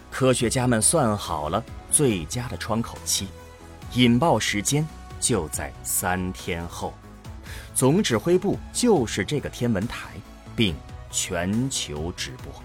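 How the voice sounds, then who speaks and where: A man narrating a scripted science fiction audio drama in a dramatic storytelling style. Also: fairly low pitch.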